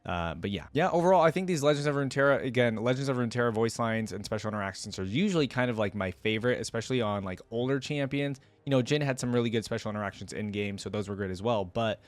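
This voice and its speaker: A man's voice: medium pitch, fast talker, few pauses, dry recording. A man hosting a reaction video, talking informally and ramblingly as he thinks aloud into a close microphone. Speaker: video host reacting to game voice lines. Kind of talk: casual reaction commentary.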